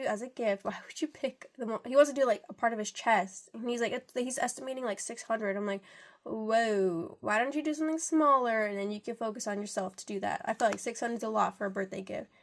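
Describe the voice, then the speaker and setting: Congested young woman chatting about budgeting. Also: fairly high pitch. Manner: rambling, chatty, lots of "like". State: congested from a cold. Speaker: budgeting vlogger. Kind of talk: casual chatty narration while counting cash.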